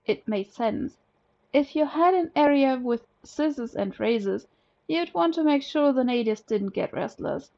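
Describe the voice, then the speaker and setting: A woman's voice: sits high, animated, measured pace, creaky, warm tone, muffled recording. A woman from Germany, a native German speaker, narrating a scripted story as an amateur audiobook reader.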